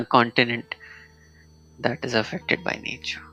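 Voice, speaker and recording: A man's voice: mid-range voice, slow pace, pushed and bright, rough recording. A man giving casual, informal live commentary while streaming a game, with hesitant muttered asides. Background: game music.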